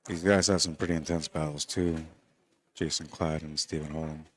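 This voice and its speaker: A man giving relaxed, conversational live commentary on a pool match, talking quietly and low. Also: normal speed.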